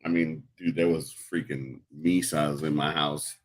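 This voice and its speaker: A man with an extremely deep voice talks in a loose, casual way, full of fillers and the odd curse. He grew up in New York after being born in Puerto Rico. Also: little pitch movement, measured pace. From born in Puerto Rico, raised in New York. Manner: loose and profane, with many fillers. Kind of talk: casual conversation.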